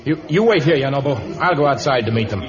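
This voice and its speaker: An actor playing a calm, firm jungle hero in an old radio adventure, with measured, correct speech. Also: male voice, mid-range voice, crowd noise, close to an everyday voice. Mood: firm, concerned. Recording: muffled old radio recording, faint noise.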